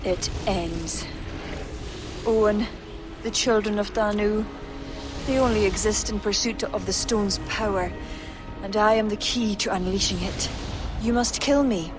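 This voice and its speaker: A woman voicing a slow-speaking solemn young druid woman. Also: medium pitch, music in the background, natural voice. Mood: pleading. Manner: grave and pleading, measured. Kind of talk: game cutscene acting.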